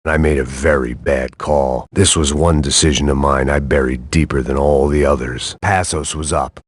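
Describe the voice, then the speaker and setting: An actor voicing a jaded, self-loathing middle-aged antihero in weary, sardonic, deadpan video game noir narration, with a gravelly voice. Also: male voice, very deep voice, normal speed, dry recording, clean studio sound. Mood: regretful, bitter. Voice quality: gravelly, husky.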